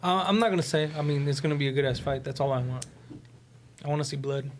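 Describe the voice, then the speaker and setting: Higher-voiced young man in casual chat. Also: fast talker, slight background noise. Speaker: podcast panelist. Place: small indoor room. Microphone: podcast microphone.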